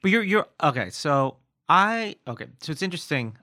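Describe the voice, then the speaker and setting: A man hosting a podcast, teasing his guest in quick comic banter on a close mic. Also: on the high side, big pitch swings, bright, forceful tone, dry recording. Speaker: podcast host. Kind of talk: casual comedic chat.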